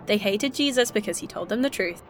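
A woman's voice: mid-range voice, animated, fairly quick, lightly acted. An actress playing a blunt, joking and curious woman in the 1980s, in an indie audio drama.

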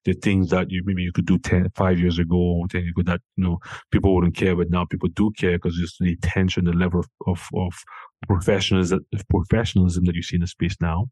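A man's voice: low voice, little pitch movement, fast, mellow, no room sound. A man from Cameroon, a company founder, talking casually as an interview guest, often restarting his sentences. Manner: hesitant, many restarts and fillers.

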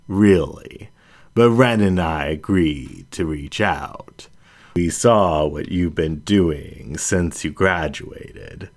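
A man reads the part of a grown lioness witch from a storybook, calm and kind. The voice is low and very unhurried, with gentle, solemn earnestness in the phrasing. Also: heavily acted. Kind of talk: storybook character reading.

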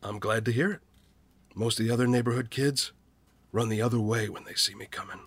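An actor as a husky, guilt-ridden loner man. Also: medium pitch, fairly even intonation, dry recording, close to an everyday voice. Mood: subdued, regretful. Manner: hesitant, confessional, halting. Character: weary, guilt-ridden small-town loner man, secretive, feared by kids. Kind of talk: naturalistic audio drama acting.

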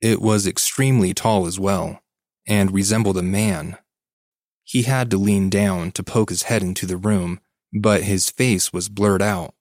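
Brief: deep male narrator, measured scripted reading. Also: a little mellow, no echo, studio quality. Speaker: story narrator. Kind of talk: scripted narration of a listener's story.

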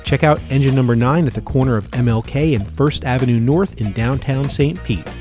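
A man's voice: mid-range voice, fairly quick, darkish tone. Upbeat male food-show host, music behind. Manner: upbeat, promotional. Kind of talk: scripted food-segment narration and interview.

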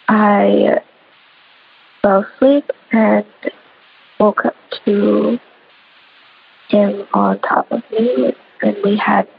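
Gravelly, husky woman, slow and flat. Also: medium pitch. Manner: hesitant, subdued. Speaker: testimony speaker. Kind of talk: halting personal testimony. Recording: tinny phone-like recording.

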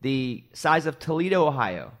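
A man's voice: fairly high pitch, sing-song, normal speed. A man from Arkansas, a morning show host, chatting casually on a podcast and telling rambling stories with self-deprecating asides.

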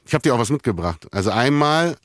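A man's voice: mid-range voice, very animated, fast talker, a bit bright, no room sound, studio quality. A man who is a guest musician, chatting in a friendly way and laughing easily. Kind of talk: casual chat.

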